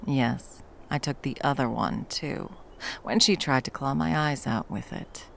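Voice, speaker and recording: A woman playing a battle-hardened, fiercely protective monster hunter in an intimate ASMR roleplay, speaking to a lover with dry, tender menace and a gravelly voice. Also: rumbling low, fairly bright tone, slight background noise, heavily acted. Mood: possessive, protective. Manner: menacing yet tender, slow deliberate storytelling with dry understatement.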